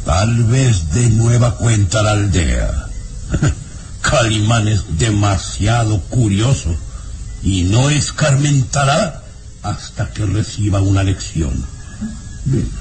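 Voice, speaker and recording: A man's voice: on the low side, a little mellow. An actor playing a sinister, falsely tender baron and father in a vintage radio serial, suspicious and insinuating. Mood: sinister, coaxing. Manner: slow, suspicious, insinuating, with sly chuckles. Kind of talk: vintage Spanish-language radio serial acting. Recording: old radio drama with music underneath.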